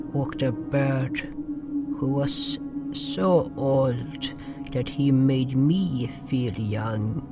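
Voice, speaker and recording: A man narrating a story in the first person, in a slow, earnest amateur reading. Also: medium pitch. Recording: mono narration over music.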